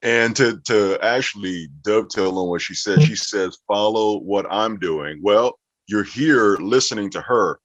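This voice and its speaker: Male American interview guest, lower register, casual conversational delivery, dull close-sounding dry audio. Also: unhurried, a bit bright, dry recording. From Las Vegas, United States.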